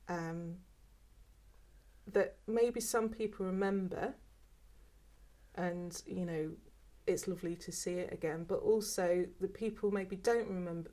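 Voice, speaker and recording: A woman in her fifties with a mixed, mostly southern English accent, talking hesitantly in an oral history interview, often repeating words. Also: on the low side. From England. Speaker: former archive worker.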